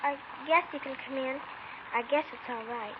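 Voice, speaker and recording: A high-pitched, frightened little girl, voiced by a woman in an old radio crime play, guarded and insistent as she keeps visitors away. The sound is dull and lo-fi, with faint hiss under it. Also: normal speed, close to an everyday voice. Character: frightened, defensive young girl. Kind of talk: 1950s radio acting.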